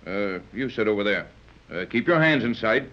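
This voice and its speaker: An actor playing a suspicious, protective captain and estate manager in 1930s radio drama style. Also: male voice, lowish voice, lively intonation, moderate pace, natural voice. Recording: dull, noisy 1930s radio recording.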